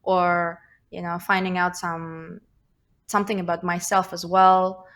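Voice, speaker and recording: Young woman, slight Russian accent, lowish voice, flat intonation, interview guest, thoughtful, lots of fillers. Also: measured pace. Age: young adult. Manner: thoughtful, many fillers and restarts. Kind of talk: casual interview conversation.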